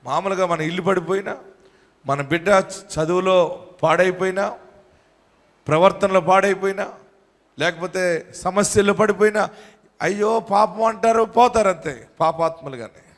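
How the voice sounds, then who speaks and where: A man's voice: high voice, moderate pace, long pauses. A man preaching a fervent sermon as pastor, repeating and exhorting his congregation. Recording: long hall reverb.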